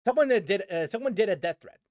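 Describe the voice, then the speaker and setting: Brief: male gaming streamer, high voice, dry close sound, rambling frustrated rant. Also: animated, fast talker, a little mellow, dry recording. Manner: rambling, many restarts and fillers, frustrated. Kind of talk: casual stream commentary.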